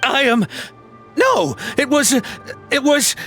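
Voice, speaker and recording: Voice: high voice, very animated, slow pace, a bit bright, soft background music, exaggerated voice. An actor voicing a cowardly hired poacher in a video game, nervous and stammering. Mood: shaken. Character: cowardly, greedy hired poacher, blustering then panicky.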